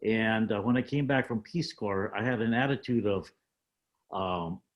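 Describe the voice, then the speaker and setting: An older man speaks over a video call as a panel guest, reflecting on his life story. He talks in a measured, halting way, pausing on fillers as he picks his words. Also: lowish voice, muffled recording.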